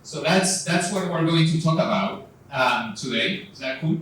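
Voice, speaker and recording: A man's voice: fairly high pitch, expressive, moderate pace, fairly bright tone. A man moderating a live panel, talking with many fillers and restarts. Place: event venue. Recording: echoey room, far from mic, faint noise.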